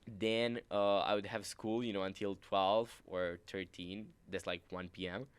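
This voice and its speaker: A young man from Romania, a native Romanian speaker, chatting casually as podcast host, animated and full of fillers. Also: on the low side, smooth, no room sound. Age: teens. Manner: animated, filler-heavy, quick laughs. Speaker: podcast host, exchange student. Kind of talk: casual chat.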